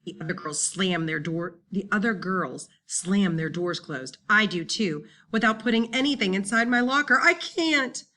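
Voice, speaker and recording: A woman reading a first-person children's novel as an expressive, dramatic audiobook narrator. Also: mid-range voice, normal speed, hardly any pauses, a little hiss or hum. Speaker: audiobook reader.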